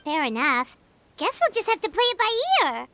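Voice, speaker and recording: A woman voicing a tiny, excitable, worrying fairy companion in a video game, with a squeaky childlike voice and expressive delivery. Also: normal speed, exaggerated voice. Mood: curious. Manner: expressive, speaks of herself in third person. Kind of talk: video game character acting.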